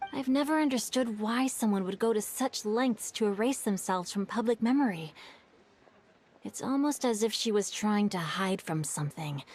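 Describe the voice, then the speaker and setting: A woman voicing a courteous, well-read young male swordsman who tells an old tale in a measured way, in video game dialogue. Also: medium pitch, close to an everyday voice. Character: bookish young male swordsman, polite and thoughtful.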